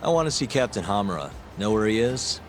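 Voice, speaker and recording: An actor with an American accent playing a cool, nonchalant detective with a dry, casual delivery in a video game. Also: male voice, on the low side, sing-song, fast talker, soft background music.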